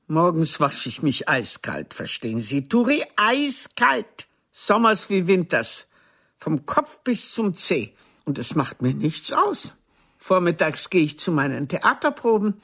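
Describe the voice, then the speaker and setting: An older actress playing a proud, wounded theatre diva in a German radio drama, with a haughty, theatrical and cutting delivery. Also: female voice, on the low side, very animated, a little slow, fairly bright tone, breathy edge, exaggerated voice. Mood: haughty, boastful.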